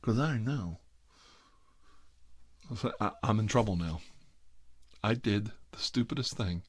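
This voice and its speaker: A middle-aged man telling a rambling, comic family anecdote in a casual, wry, exasperated manner with drawn-out emphasis. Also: lowish voice, lively intonation, husky. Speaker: host telling a family story.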